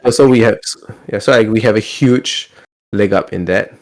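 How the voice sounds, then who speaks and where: A man with a Singaporean accent and a fairly low voice hosts a casual podcast. There is a gravelly fry in his speech. He is chatty and tends to repeat words as he goes. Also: normal speed. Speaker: podcast host.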